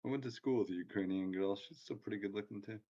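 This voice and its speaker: A man co-hosting a live trading stream, chatty and laughing often, whose pitch jumps up when he gets animated. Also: quick pace, slight background noise. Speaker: co-host trader. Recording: dull lo-fi sound.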